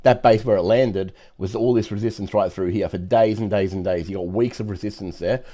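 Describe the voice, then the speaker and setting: Fast-talking hoarse man. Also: lowish voice, mellow. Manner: brisk, explanatory, many fillers. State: hoarse, nearly lost voice. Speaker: market analyst host. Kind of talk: trading chart commentary.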